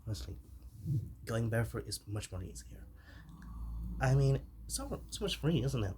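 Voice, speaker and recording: A man playing an easygoing, shy zombie mentor in an ASMR roleplay, speaking softly and haltingly, often dropping into whispers. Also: mid-range voice, big pitch swings, slight background noise, natural voice. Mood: gentle, instructive. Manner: halting, chatty, reassuring, with sighs. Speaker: ASMR performer.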